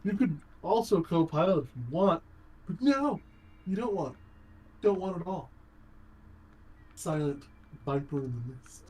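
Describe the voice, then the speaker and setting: A man's voice: expressive, measured pace, long pauses, a little mellow, poor audio. A man bantering casually over a game livestream on an online voice call, swearing and often repeating his phrases. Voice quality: low baritone voice. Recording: voice chat with clear background noise.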